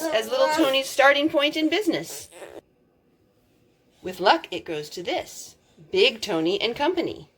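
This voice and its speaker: A woman reading a picture book aloud as a storytime host, expressive, drawing out words for emphasis. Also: fairly high pitch. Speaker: storytime reader.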